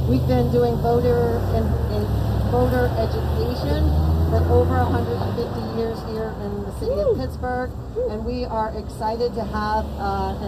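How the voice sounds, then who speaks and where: Brisk woman announcing at an outdoor podium. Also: on the high side, very noisy. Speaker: nonprofit staff member. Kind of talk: short speech at outdoor press event.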